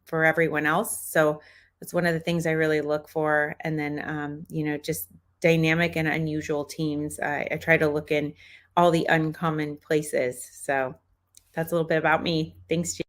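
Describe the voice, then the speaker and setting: A woman speaking as a panelist on a video call, introducing herself casually with quick restarts. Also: low-pitched, flat delivery. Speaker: panelist, founder and investor.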